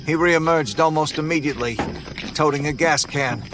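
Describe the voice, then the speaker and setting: A man telling a first-person horror story in a tense, measured way. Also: fairly high pitch, over loud music. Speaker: narrator.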